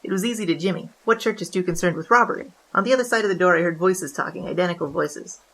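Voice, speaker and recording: A wry hardboiled bandit voiced by a woman tells a noir tale at a rapid clip. The voice sits a little low, deadpan and slangy, in radio-play narration.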